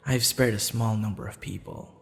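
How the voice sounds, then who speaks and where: A man playing a courteous, flirtatious assassin in an intimate ASMR roleplay, smitten and slightly sheepish, speaking softly and formally with hesitant pauses. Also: lowish voice, fairly even intonation, gravelly. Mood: warm, apologetic. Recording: long reverb, music behind.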